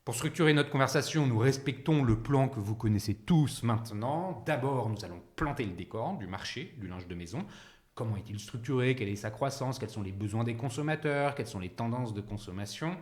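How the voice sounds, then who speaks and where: A man with a medium-pitched voice acts as podcast host and interviewer. He presents and questions in an informal, conversational manner. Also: expressive, brisk.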